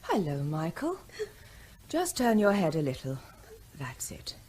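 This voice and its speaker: A woman playing a calm, reassuring Indian hospital doctor in a radio drama, gentle and professional. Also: deep voice, a little slow, breathy edge, full character voice. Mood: soothing. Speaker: actor.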